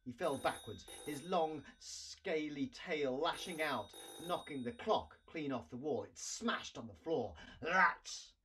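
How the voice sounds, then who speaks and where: A man in his forties giving an expressive reading of a children's story, as its author. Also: on the high side, measured pace, few pauses, slight background noise. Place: small cluttered room indoors.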